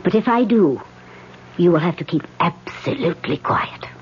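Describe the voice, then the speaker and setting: An actress playing a calm spirit medium leading a seance, often speaking in a hush, in an old radio drama. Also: female voice, lowish voice, normal speed, slight background noise, natural voice. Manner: calm, hushed, often whispering. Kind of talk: 1970s radio drama acting. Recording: dull old radio broadcast.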